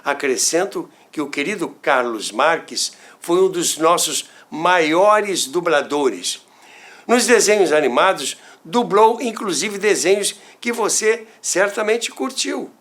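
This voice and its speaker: Older Brazilian man, animated, unhurried storyteller. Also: high voice. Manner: theatrical, deliberate, with dramatic pauses and asides to listeners. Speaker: storyteller, former radio worker. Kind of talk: animated anecdotal storytelling podcast narration.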